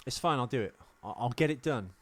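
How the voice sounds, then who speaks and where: Lively British man bantering on a podcast. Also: mid-range voice, quick pace. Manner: sweary, self-deprecating. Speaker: podcast host. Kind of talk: casual banter.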